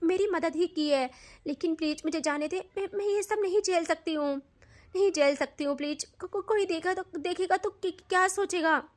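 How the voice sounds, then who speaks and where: A woman's voice: very high-pitched, moderate pace. A woman voicing a frightened young woman who stammers and panics. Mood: tearful pleading.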